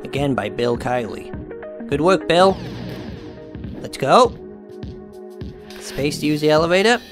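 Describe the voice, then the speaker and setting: An animated man with a slightly high voice hosts a gaming video over clear game music. His running commentary is playful, exclamatory and full of cheeky jokes. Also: a little slow. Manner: jokey, exclamatory, cheeky puns.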